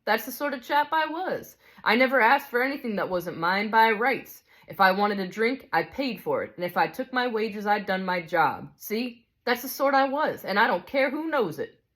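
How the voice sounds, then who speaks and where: A woman's voice: fairly high pitch, lively intonation, moderate pace, few pauses, fairly bright tone, voice pushed up. A woman voicing a big, self-righteous male ghost who complains in coarse working-class speech. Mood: aggrieved.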